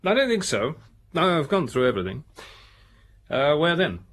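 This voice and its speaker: An actor playing a methodical, earnest police detective in a British radio drama, speaking in a careful, matter-of-fact way. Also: male voice, on the high side, sing-song, moderate pace, a bit bright.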